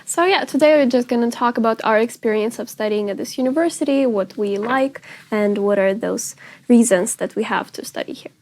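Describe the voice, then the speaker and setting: A woman's voice: a little high, a little fast, a little mellow. Upbeat young woman hosting. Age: young adult. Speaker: talk show host, student. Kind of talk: scripted casual talk show hosting.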